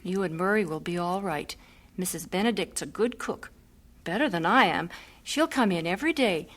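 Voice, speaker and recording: A woman's voice: on the low side, expressive, normal speed. Eager young missionary woman, earnest heartfelt delivery, radio play. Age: young adult. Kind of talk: vintage radio drama acting.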